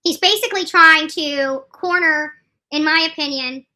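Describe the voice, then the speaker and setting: A woman giving live, opinionated legal commentary, emphatic and halting, repeating phrases for stress and often restarting her sentences. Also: high voice, sharp tone. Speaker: streamer commenting on court news.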